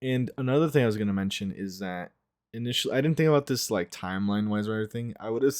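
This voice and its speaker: Guy with a lowish voice reacting to a video, casual, working out theories out loud. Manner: musing, thinking aloud, frequent "like" and "right".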